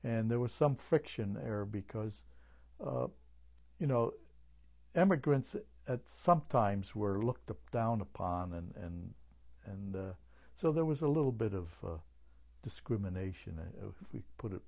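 An older man whose first language is German, recalling his childhood in an oral history interview, halting with many fillers and restarts. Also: fairly low pitch, animated, vocal fry, mellow, lo-fi sound.

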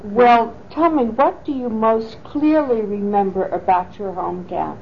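Slow, measured woman interviewer. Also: a little high, gravelly, slight background noise. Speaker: oral history interviewer. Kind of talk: oral history interview questions. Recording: dull lo-fi 1980s tape recording.

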